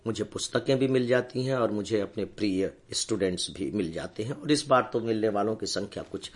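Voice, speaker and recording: An older man with a hoarse voice giving a measured, warm motivational talk to his students. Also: on the low side. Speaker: educator addressing students.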